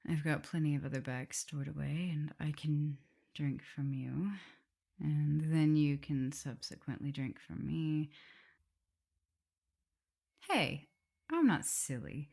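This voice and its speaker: A woman playing an affectionate, teasing vampire mistress with a prim teacherly side, in a soft, intimate, often whispered ASMR roleplay. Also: low-pitched, slow, gravelly, pauses often, heavily acted. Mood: playfully sassy. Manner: tender, playful, hesitant murmuring.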